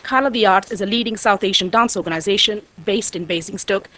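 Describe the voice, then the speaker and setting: A woman with a croaky voice giving a formal presentation to a council as an arts company director. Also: medium pitch, fast, fairly bright tone. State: croaky voice, needing water. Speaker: artistic director of a dance company, guest speaker. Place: council meeting room.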